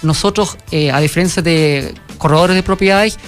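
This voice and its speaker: A man from Germany with a German accent, a company founder answering questions in a radio interview, halting and full of fillers and restarts. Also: a little high, faint music behind. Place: radio studio.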